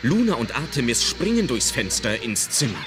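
A man's voice: medium pitch, big pitch swings, normal speed. A man reading scripted narration for an audio drama. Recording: loud music under the voice.

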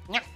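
A young woman from Sweden with a Swedish accent mixed with British touches, chatting casually while streaming a game and often laughing. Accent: Swedish with British, Scottish and Irish touches. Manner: giggly, often breaks into laughter. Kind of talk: casual live-stream chat while gaming, reading chat aloud.

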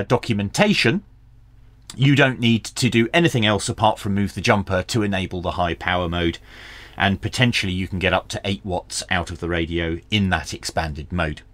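Animated man giving a hands-on tech review. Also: on the low side, no room sound. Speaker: radio review channel host. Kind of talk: hands-on product review and tutorial narration. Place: small indoor home workshop.

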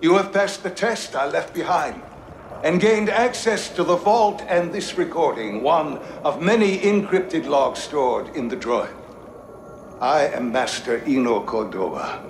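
An actor playing a wise, solemn old Jedi master in a game's recorded message, speaking in a stately, deliberate way. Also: male voice, a little high, lively intonation, noisy background. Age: older. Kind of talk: game cutscene acting, solemn monologue. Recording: echoing chamber, clear background ambience.

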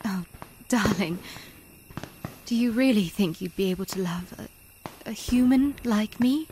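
A woman's voice: medium pitch, unhurried, dark tone, slight background noise, natural voice. A woman playing a sultry, romantic human heroine in a parody monster movie melodrama. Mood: amorous.

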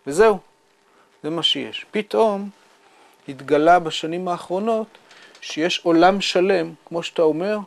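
He is a man in his fifties with a rather high voice. It has plenty of fry and gravel in it. He explains like a scientist in an interview, measured and careful. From Israel. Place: small indoor room with bookshelves.

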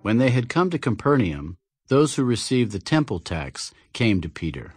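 A man's voice: medium pitch, sing-song, normal speed, slightly rough. A man giving scripted, dramatic narration of scripture as a narrator. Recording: loud music behind the voice.

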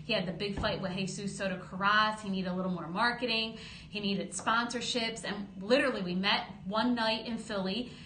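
A woman in her thirties, a boxing promoter, telling her story in a lively podcast interview at a close studio mic. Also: medium pitch, normal speed. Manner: lively storytelling, gestures while talking, acts out quotes. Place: small studio office, indoors. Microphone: studio boom mic close up. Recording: roomy studio with faint hum.

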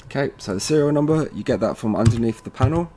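A man presenting an informal software tutorial, casually thinking aloud as he goes step by step. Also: mid-range voice, lively intonation, quick pace. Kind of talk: informal screen-recorded software walkthrough. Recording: noisy room with keyboard typing.

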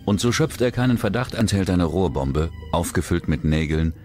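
Deep-voiced man narrating true crime. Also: lively intonation, normal speed. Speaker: documentary narrator. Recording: music bed behind the voice. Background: background music.

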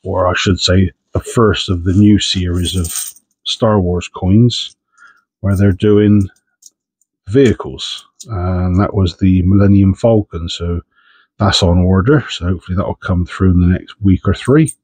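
A man's voice: deep voice, steady tone, a little slow, darkish tone, husky, dry recording. A man with a head cold, sniffing as he chats casually while sorting through coins for his channel. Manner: chatty, understated, self-deprecating. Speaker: coin-hunting channel host.

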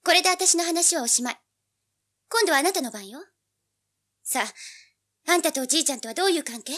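A woman's voice: sits high, expressive, a little fast, a bit bright. An actress playing a feisty, money-hungry teenage girl with a pert, blunt manner in a dramatized audiobook. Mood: pert, questioning.

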